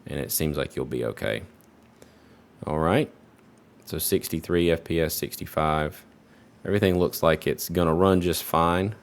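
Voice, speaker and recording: Very deep-voiced man, relaxed and rambling. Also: normal speed, pauses often. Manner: relaxed, rambling, many fillers. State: recovering from a virus, voice slightly off. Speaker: PC hardware benchmark creator. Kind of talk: casual gameplay benchmark commentary.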